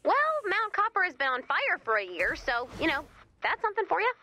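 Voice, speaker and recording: An actress playing a brisk, wry, easily exasperated woman in command of a base in an animated video game, with dry sardonic delivery. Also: sits high, exaggerated voice. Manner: dry, sardonic, hesitant when flustered.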